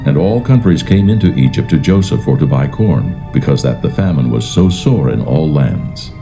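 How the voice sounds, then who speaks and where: A man narrating dramatised scripture in a solemn, measured, formal manner. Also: a bass voice, expressive, gravelly, warm tone. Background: faint music.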